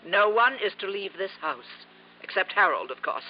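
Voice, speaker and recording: A woman's voice: mid-range voice, very animated, normal speed, lightly acted. A woman playing an imperious, possessive older aunt in a 1950s radio drama, haughty and theatrical in manner. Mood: commanding. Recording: dull, noisy vintage radio recording.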